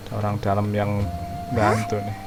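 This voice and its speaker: A man's voice: on the low side, monotone, fast talker, heavy background noise. A young man giving casual game commentary as a streamer. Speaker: gaming streamer. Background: game music and dialogue.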